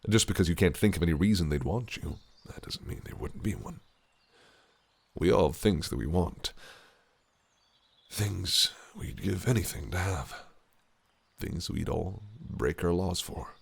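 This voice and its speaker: A man's voice: deep voice, expressive, measured pace, creaky, a little hiss or hum, heavily acted. A man voicing a possessive, cunning fae prince in an audio roleplay, speaking in a silky, teasing, menacing way. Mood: ominous. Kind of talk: listener-directed audio roleplay.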